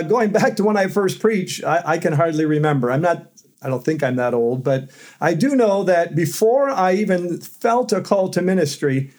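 A middle-aged man, a seminary professor, telling stories as a podcast guest in a loud voice with fillers. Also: fairly high pitch. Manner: loud voice, storytelling with fillers and light laughs. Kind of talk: conversational interview answers.

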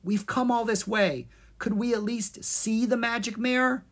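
A woman's voice: on the high side, fairly even intonation, a little slow, pitched above the performer's own voice. A woman voicing a plucky, determined young girl adventurer in a children's storybook, earnest and forthright. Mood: pleading.

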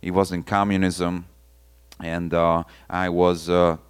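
A man from Hungary with a strong Hungarian accent preaches his personal testimony to a congregation. His voice is low and deep, smooth and resonant, and he rises fervently with frequent calls of "amen.". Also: level tone, takes it slowly. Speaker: visiting missionary preacher. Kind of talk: testimony sermon to a church.

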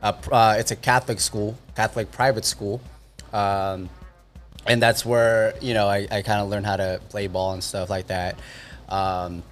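A man in his thirties from the Philippines tells stories in a relaxed, meandering way, often restarting his sentences. His voice sits low. Faint music plays underneath. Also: moderate pace. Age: 30s. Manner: rambling, self-correcting storyteller. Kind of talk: casual chat.

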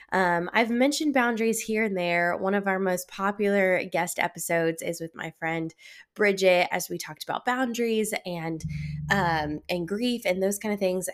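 Stuffy-voiced woman hosting a solo podcast. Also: medium pitch. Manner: warm, reflective, with fillers and restarts. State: head cold, stuffy. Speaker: podcast host. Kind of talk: solo podcast talk, encouraging.

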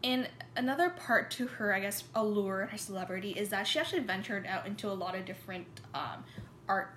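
A woman's voice: medium pitch, a little fast, a bit bright, a little hiss or hum. Casual woman presenting in an echoey room. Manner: hesitant, many fillers and restarts.

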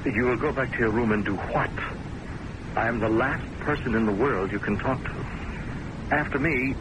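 An actor playing a calm doctor in an old-time radio drama, questioning in a measured, probing manner. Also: male voice, medium pitch, natural voice. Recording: noisy old radio broadcast recording.

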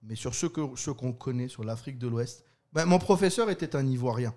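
A man's voice: mid-range voice, brisk. Man, casual podcast chat, stammering restarts, laughs a lot. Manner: animated storyteller, stammering restarts, laughs often. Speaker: podcast co-host.

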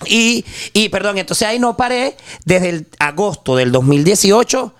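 A Venezuelan man telling a story in a casual podcast chat, slangy and animated, often restarting his sentences. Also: high voice, normal speed, smooth. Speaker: podcast guest, journalist.

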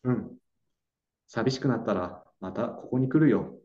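A young man acting a calm, gentle boyfriend in a slow, clear radio drama for learners. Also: medium pitch. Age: young adult. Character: Naoto, young man staying in his hometown. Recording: dull lo-fi audio.

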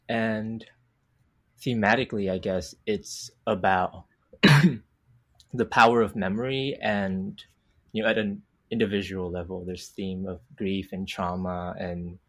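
Low-voiced young man, thoughtful guest. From Vietnam. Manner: thoughtful, unhurried, many filler words. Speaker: podcast guest, comic artist. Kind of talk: casual interview talk.

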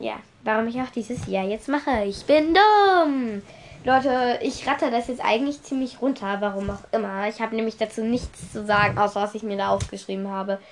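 A young woman with a cold, chatting casually on her solo podcast and rambling through her notes, spelling words out letter by letter. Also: on the high side. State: stuffy nose from a cold. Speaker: podcast host.

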